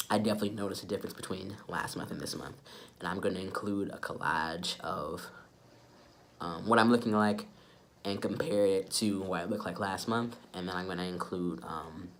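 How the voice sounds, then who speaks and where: A young adult man with a congested, stuffy-nosed sound from a cold, giving a casual, low-key update to camera. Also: a little hiss or hum. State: sick with a stuffy nose. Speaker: vlogger.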